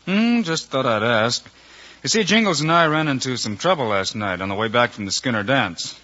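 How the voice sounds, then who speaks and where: An actor playing a calm, authoritative Western lawman with an American accent, measured and firm in 1950s radio style. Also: medium pitch. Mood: cool, probing. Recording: muffled, noisy old radio recording.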